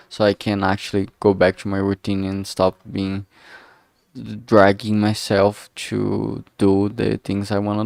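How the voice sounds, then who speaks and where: A man's voice: on the low side, creaky, a little mellow, smooth, no room sound, clean studio sound. A man chatting casually on a livestream, thinking aloud in a hesitant, musing way and often trailing off mid-thought. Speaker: streamer making a visual novel.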